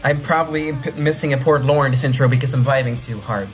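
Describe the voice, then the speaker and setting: A young man whose first language is Dutch, rambling excitedly while reacting to an animation and thinking out loud. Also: medium pitch, fast talker, faint music behind. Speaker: reaction video host. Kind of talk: casual reaction commentary.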